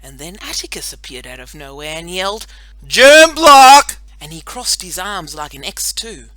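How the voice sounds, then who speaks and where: Bright, animated woman telling a children's story. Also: sits low, normal speed, poor audio. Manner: animated, playful, dramatic storytelling. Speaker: audiobook narrator. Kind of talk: expressive children's story narration.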